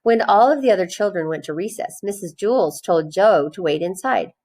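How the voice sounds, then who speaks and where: A woman reading a children's storybook aloud in an expressive way, phrasing it clearly for young listeners. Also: medium pitch, normal speed.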